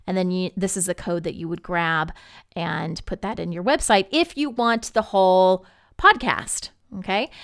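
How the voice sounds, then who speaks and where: A woman with a smooth, clear voice talks listeners through a software walkthrough. Her delivery is conversational and easygoing, explaining each step informally, and the sound is dry and close with very little room. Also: mid-range voice, no echo. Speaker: podcast network representative giving a software tutorial. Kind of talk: informal screen-share tutorial walkthrough.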